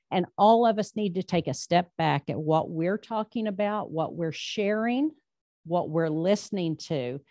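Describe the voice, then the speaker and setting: Low-voiced woman at a podium. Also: a little mellow, dry recording. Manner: folksy, emphatic, self-deprecating. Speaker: state labor commissioner speaking to a club. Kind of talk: public speech with audience questions. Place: meeting room, standing at a podium. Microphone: handheld microphone.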